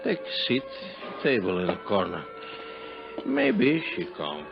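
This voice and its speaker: Deep, gravelly, suspicious café man. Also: huge pitch swings, unhurried, music in the background, full character voice. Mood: guarded. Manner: curt, guarded, broken English. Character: suspicious, terse Casbah coffee-house man. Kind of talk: 1950s radio drama acting. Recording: dull vintage 1950s radio recording.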